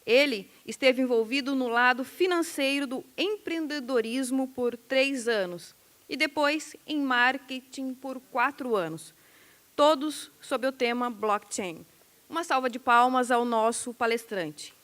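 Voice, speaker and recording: A woman's voice: high voice, moderate pace, fairly bright tone. A woman acting as event host, reading out a speaker's bio in a formal stage introduction.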